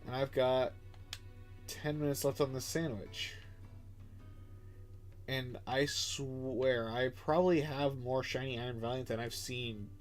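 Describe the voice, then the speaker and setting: A man's voice: mid-range voice, steady tone, takes it slowly, pauses often, faint music behind. A young man with a stuffed-up nose, chatting casually and rambling while he streams a game. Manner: chatty, rambling, filler-heavy. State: stuffed up, congested. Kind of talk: casual live-stream commentary.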